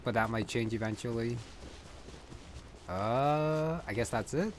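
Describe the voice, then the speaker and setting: A man's voice: medium pitch, unhurried, slight background noise. Man rambling through casual game commentary. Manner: hesitant, rambling, thinking aloud. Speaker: gaming video creator.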